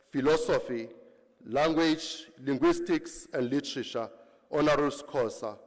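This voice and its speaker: Man reading a citation in a reverberant hall. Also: on the high side. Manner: halting, stumbles and restarts over names. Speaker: ceremony presenter reading a citation. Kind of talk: formal citation reading at a graduation. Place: large university great hall. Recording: long reverb of a large hall.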